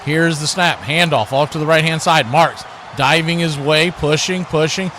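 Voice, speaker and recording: A man's voice: a little high, moderate pace, sharp tone. A man doing live radio play-by-play commentary of a football game as the announcer. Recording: clearly noisy live broadcast.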